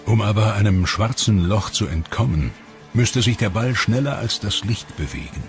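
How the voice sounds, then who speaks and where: Deep-voiced male documentary narrator. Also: expressive. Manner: measured, explanatory. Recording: voice-over with music bed. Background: clear music.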